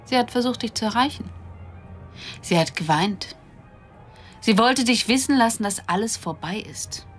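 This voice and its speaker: An actress playing a hurt, bitter wife in a German radio drama, scornful and reproachful. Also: female voice, on the high side, lively intonation, normal speed, pauses often, natural voice. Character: hurt, bitter wife confronting her cheating husband. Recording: studio radio play with music behind.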